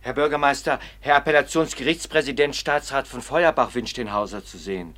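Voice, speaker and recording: An actor playing a plain-spoken, deferential servant in a radio play. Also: male voice, medium pitch, a little fast, a bit bright, lightly acted.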